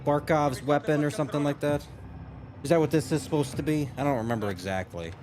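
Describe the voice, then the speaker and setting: A man's voice: on the high side, quick pace, over music. A man giving casual reaction commentary as a video host, rambling and thinking aloud as he goes.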